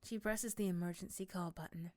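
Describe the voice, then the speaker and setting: A woman's voice: fairly low pitch, fairly even intonation, fairly quick, mellow, no echo. A woman reading scripted second-person narration for an ASMR roleplay story.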